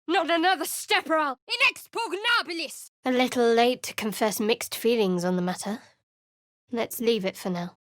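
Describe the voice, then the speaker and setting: Fantasy game casting: secretive young woman cleric, wry and clipped, slightly high voice, close dry recording. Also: fairly bright tone, dry recording. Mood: forceful, urgent, then guarded, dry. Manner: cool, dry, clipped, guarded. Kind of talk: fantasy video game voice acting.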